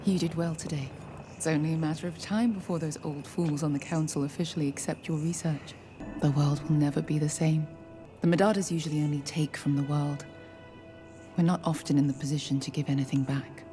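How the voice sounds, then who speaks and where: An actress playing a poised, ambitious noblewoman councillor in an animated fantasy drama, speaking in a measured, formal, silky and calculating way. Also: low voice, natural voice. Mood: smooth, confident. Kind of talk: animated drama series acting. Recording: clips with music behind the voice.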